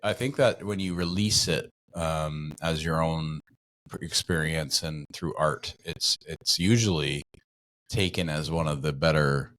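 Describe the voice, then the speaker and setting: A middle-aged man hosting a casual interview, speaking in a halting, tentative way and rephrasing as he goes. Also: a bass voice, a bit bright, dry recording. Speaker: podcast host.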